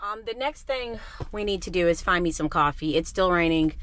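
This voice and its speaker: A chatty, self-assured woman in her thirties talks casually to a camera held at arm's length, her voice sitting a little low. Clear road and car noise runs steadily behind her. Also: animated, a little fast. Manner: chatty, self-assured, calls herself "your girl". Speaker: van-life vlogger. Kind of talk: casual vlog chat to camera. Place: inside a parked van and outdoors in a city lot, also in a store. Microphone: handheld camera at arm's length.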